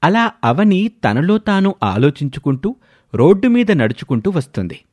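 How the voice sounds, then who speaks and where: A man's voice: high voice, sing-song, normal speed, a little mellow. A man reading a scripted dramatic story as its narrator.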